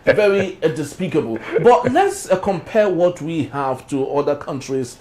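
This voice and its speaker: A man's voice: on the high side, very animated, moderate pace, darkish tone. A man with a Nigerian accent discussing issues conversationally as a talk show panelist.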